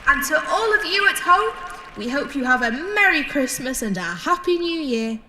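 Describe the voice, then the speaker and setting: Woman as high, echoing pantomime fairy godmother. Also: very animated, normal speed, noisy background. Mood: joyful. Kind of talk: audio pantomime acting. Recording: roomy, with loud music and crowd.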